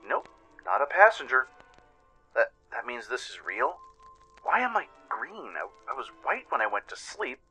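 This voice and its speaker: A man's voice: medium pitch, big pitch swings, moderate pace, sharp tone, faint music behind, pitched above the performer's own voice, low-quality recording. A man voicing a confused, hesitant young female pony who stammers as she wakes from stasis in an audio drama. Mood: alarmed disbelief.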